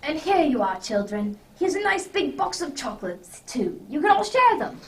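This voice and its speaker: A woman playing a genial, masterful gentleman detective in a radio drama, with old-fashioned gentlemanly phrasing. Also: sits high, very animated, moderate pace. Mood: genial, kindly. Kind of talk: amateur radio drama acting. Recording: distant mic with faint noise.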